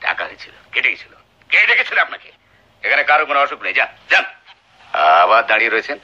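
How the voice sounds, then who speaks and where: An actor playing a cruel, hot-tempered husband in a radio drama, shouting menacingly and barking repeated short commands. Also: male voice, medium pitch, big pitch swings, fast talker, exaggerated voice. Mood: angry, suspicious. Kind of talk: Bengali radio drama acting. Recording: dull lo-fi old radio drama recording.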